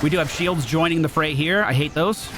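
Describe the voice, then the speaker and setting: A man giving casual live gameplay commentary as a streamer, slangy and excitable, with some swearing. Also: a little high, fairly quick. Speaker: gaming streamer. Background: faint game music.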